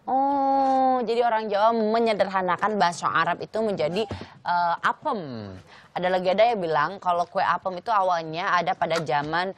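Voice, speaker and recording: A woman's voice: on the low side, normal speed, a little hiss or hum. A young adult woman co-hosting in a TV studio, chatting and reading out trivia. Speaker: TV show co-host. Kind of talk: lively studio chat and reading out food trivia. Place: TV studio kitchen set, indoors.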